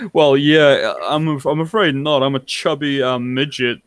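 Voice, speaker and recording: A man's voice: on the high side, a little slow, clean tone, no echo, clean studio sound. A man born in England and raised in New Zealand, with a mixed English and New Zealand accent, chatting casually on a call and laughing at himself. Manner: self-deprecating, laughs often. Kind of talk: casual group chat.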